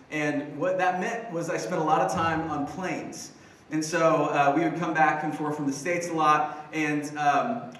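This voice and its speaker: Young man, high bright voice, chatty youth sermon, echoey church, distant. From Philippines. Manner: upbeat, chatty, lots of fillers and restarts. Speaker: young adults pastor. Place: church stage with band gear. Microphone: handheld mic. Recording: echoey room, voice from afar.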